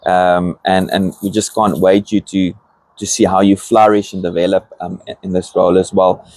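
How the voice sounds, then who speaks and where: A man's voice: low voice, flat delivery, moderate pace, darkish tone, no echo. A man interviewing a new church team member, affirming and reflective, thinking aloud as he goes. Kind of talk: casual interview.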